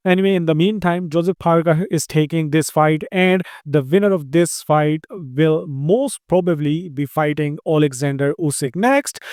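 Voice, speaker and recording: A man's voice: on the high side, darkish tone, clean tone, no room sound, clean studio sound. A man with an Indian accent hosting a combat sports news roundup, talking in long run-on sentences with frequent "uh" fillers. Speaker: host.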